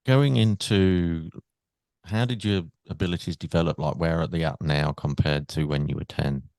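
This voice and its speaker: An English man with a very deep voice talks in a relaxed, rambling way, often repeating himself as he hosts the chat. His voice sits close to the mic, dry and without echo. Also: normal speed. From England. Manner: easygoing, rambling, repeats words. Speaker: podcast host. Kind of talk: casual interview chat.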